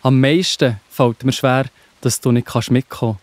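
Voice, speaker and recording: A man's voice: medium pitch, steady tone, moderate pace, no room sound, close to an everyday voice. A man with a Swiss German accent playing a devout, faithful young friend in a children's Bible audio drama, speaking with heartfelt earnestness. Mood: earnest, grateful.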